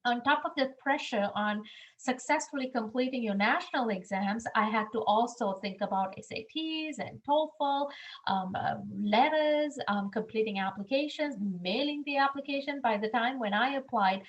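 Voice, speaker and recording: A woman's voice: fairly high pitch, normal speed. Earnest woman from Sri Lanka presenting. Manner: earnest, frequent ums, encouraging. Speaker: university international admissions staff. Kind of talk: webinar presentation. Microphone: video call.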